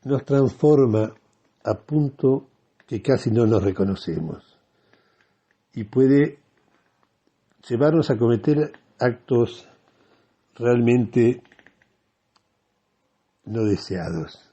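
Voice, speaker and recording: A man with a Rioplatense Argentine accent hosting a radio show in a reflective, halting and deliberate monologue. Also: medium pitch, animated, warm tone, breathy edge, highs cut off.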